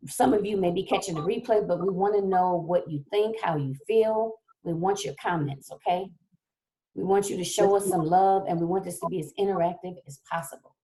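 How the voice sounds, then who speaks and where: A woman hosts a live online talk show, her voice a little hoarse as she gets over a cold. Her tone is mellow and warm-toned, and she chats easily, often checking in with her audience. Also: medium pitch, slight background noise, poor audio. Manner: chatty, keeps checking in with "okay?". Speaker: show host. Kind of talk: casual livestream talk show hosting.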